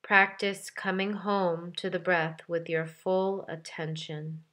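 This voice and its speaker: A woman with a slightly low voice guides a meditation very slowly. She is calm and gentle, giving measured instructions and leaving deliberate space between phrases. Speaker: meditation guide, yoga teacher.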